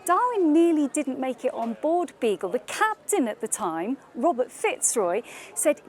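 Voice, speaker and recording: High-voiced woman telling history. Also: sing-song, measured pace, darkish tone. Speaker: interviewee. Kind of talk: interview answer.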